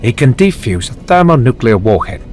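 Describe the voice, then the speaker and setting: A man's voice: medium pitch, very animated, moderate pace, a little mellow, soft background music, natural voice. A man playing an elderly, fussy, dryly witty British butler, narrating game cutscenes in a wry, understated way. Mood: dry, fond.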